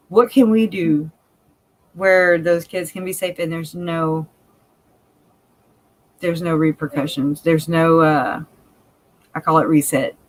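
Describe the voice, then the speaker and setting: A woman's voice: deep voice, steady tone, takes it slowly, long pauses, poor audio. A woman with a country-sounding Cajun accent, co-hosting a casual live chat, talking candidly and laughing often. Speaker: livestream co-host.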